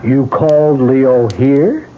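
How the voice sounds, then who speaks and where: An actor playing a patient, skeptical police lieutenant in a 1970s radio drama, talking in a measured, dryly humoring way. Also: male voice, mid-range voice, lively intonation, dark tone, slight background noise, low-quality recording. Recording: old radio broadcast with music behind.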